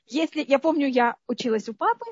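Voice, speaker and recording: A high-pitched woman from the Soviet Union talks through her memories, often checking that her listeners follow. The sound is dry and dull, as if taken from a video call. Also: a little fast, no echo. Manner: lively, keeps asking the listener "you understand?". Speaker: interview guest. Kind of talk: conversational storytelling.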